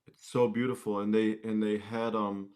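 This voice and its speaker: A man with a fairly low voice speaks as a guest musician. His delivery is casual and conversational, like an informal chat. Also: level tone, moderate pace, darkish tone.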